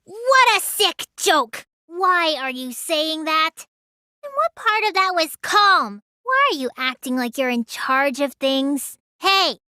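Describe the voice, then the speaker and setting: A woman voicing a small, childlike teenage girl mage in a video game, high and girlish, sulky and excitable with a whiny edge. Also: dry recording, clean studio sound, heavily acted. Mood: frustrated, agitated. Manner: whiny, stammers when startled. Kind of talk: English game dub voice lines.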